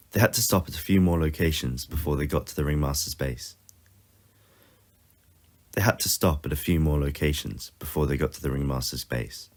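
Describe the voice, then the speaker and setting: A man reading scripted narration as the narrator of an audio story. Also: a bass voice.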